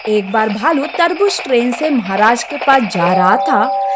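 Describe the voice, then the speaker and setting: A woman reads a scripted children's story in a high voice. Her pace is slow and measured, and there is clear ambient noise with birds behind her. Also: animated.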